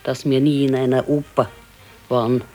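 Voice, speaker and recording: An actress playing a resigned, wistful elderly wife with a Bavarian accent in a naturalistic radio play, speaking plainly and haltingly. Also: female voice, extremely low pitch, level tone, dark tone. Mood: resigned regret. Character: wistful, resigned old pensioner's wife, thrifty.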